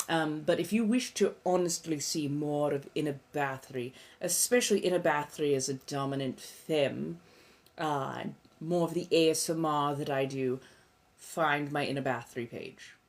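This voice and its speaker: A woman acts out an ancient vampire empress and tarot witch in a lowish female voice. She speaks in an affected posh British accent, coy and theatrical, lingering over her endearments as she chats with viewers on a livestream. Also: moderate pace. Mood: conversational. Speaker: tarot reader hosting a live stream. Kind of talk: in-character livestream chat.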